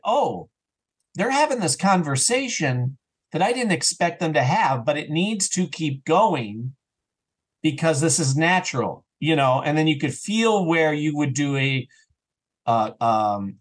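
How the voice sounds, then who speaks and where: A man talking casually as an interview guest about his creative work, telling things in an animated, self-interrupting way. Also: on the high side. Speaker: audio drama writer and actor, interview guest.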